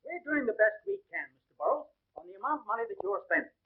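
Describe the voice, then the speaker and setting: A harried advertising executive in a vintage 1930s film, brisk and businesslike. His high voice moves in lively swings over a thin, telephone-like soundtrack. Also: slightly rough, exaggerated voice. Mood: defensive.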